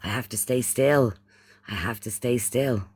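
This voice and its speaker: A man's voice: medium pitch, a little slow, husky, no echo. Irish man chatting casually, dry close sound. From Ireland. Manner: repeats phrases, uses pet names. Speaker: livestream host. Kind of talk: casual livestream chat, greeting viewers.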